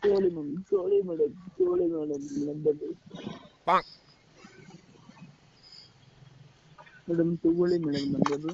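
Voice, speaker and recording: A man's voice: very high voice, wildly swooping pitch, fast talker, creaky, warm tone, exaggerated voice. A man on a prank call, playing a whiny, hesitant, wary homeless teenage boy. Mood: eager compliant. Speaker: prank caller. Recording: dull, noisy phone prank recording.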